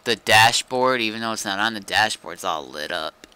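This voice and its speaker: Deep, very bright-voiced man gaming. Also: animated, normal speed, smooth. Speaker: game streamer. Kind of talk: casual gaming chat.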